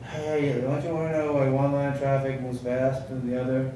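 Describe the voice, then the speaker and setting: A man's voice: medium pitch, flat delivery, a little fast, mellow, close to an everyday voice. A man voicing a pestering, tipsy guy in a stand-up comedy bit. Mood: insistent. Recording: echoey room, distant mic, faint noise.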